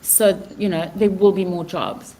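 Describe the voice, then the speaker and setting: A woman's voice: lowish voice, animated, dark tone, slight background noise, rough recording. A South African woman co-hosting a podcast in casual chat, laughing easily and restarting her sentences. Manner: chatty, quick laughs, many restarts. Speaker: podcast co-host. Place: lives abroad on the Riviera.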